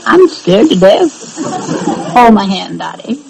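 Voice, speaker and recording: A man's voice: high voice, sing-song, slow pace, gravelly, background noise, exaggerated voice. A man voicing a cheeky, wisecracking boy dummy in a radio comedy, sly and melodramatic with a chuckle. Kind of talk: 1930s radio comedy acting.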